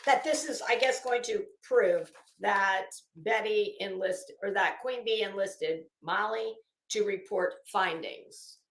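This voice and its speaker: A woman's voice: mid-range voice, slow. A woman reading documents aloud dramatically, breaking off into sarcastic, brash, profane commentary as an online host. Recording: echoey room.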